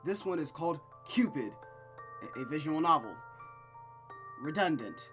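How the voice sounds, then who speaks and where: A man hosting a visual novel playthrough, chatting casually with animated, wry reactions to what he reads. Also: a little high, moderate pace. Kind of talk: casual chatty let's play commentary, reading game text aloud. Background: game music, looping rain.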